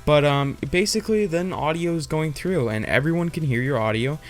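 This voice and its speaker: A man's voice: fairly high pitch, brisk, soft background music. A man hosting a casual, unscripted tutorial, explaining in an informal, rambling and hesitant way. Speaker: tutorial host.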